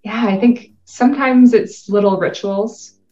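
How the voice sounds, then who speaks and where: A woman's voice: on the high side, unhurried, dark tone, echoey room, lo-fi sound. A Canadian woman in her thirties, a guest in an interview, talking casually and laughing often. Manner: upbeat, laughs often, chatty.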